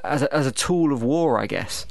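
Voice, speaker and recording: A middle-aged man interviews as a podcast host. His pitch is average for a man, his questioning is conversational, and the recording is close and dry. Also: lively intonation, moderate pace, no room sound.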